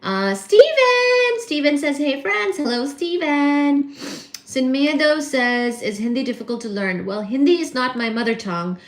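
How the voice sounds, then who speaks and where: A woman's voice: high voice, expressive, measured pace. Bengali woman, chatty, mixed Indian-American accent. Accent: mixed Indian and American English. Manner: chatty, thinking aloud, with fillers and restarts. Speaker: livestream host. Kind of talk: casual livestream chat, reading viewer comments. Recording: echoey room.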